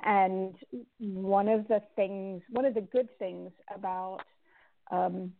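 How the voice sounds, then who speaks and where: Woman, author guest, gravelly, chatty with restarts, tinny phone line. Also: mid-range voice, fairly even intonation, slow pace. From born in Guyana, raised in England and the Caribbean. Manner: chatty, with many restarts and fillers. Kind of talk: conversational interview answers.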